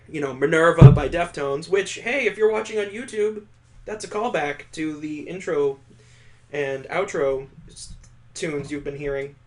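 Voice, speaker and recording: Male let's play host, voice on the higher side, narrating a visual novel with sardonic wisecracks. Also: measured pace, a little mellow. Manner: sarcastic, wisecracking. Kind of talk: story narration with joking commentary.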